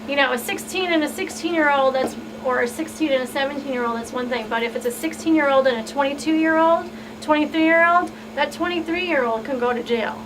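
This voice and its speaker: A woman's voice: high voice, fairly quick. A woman advocate explaining things informally on a talk show panel. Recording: distant microphone, clearly noisy room.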